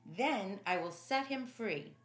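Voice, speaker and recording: A woman voicing an exasperated, commanding Roman governor in a loud, emphatic, declamatory storybook manner. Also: medium pitch, expressive, takes it slowly, reverberant, rough recording. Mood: declaring, bargaining. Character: exasperated, commanding Roman governor, grown man, shouting judgments.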